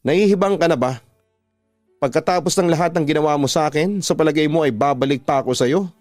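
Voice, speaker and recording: A man's voice: fairly high pitch, quick pace, a little mellow, no echo, studio quality, faint music behind, voice pushed up, lightly acted. A Filipino man voicing a defiant, wounded young woman in a radio drama, indignant and emphatic.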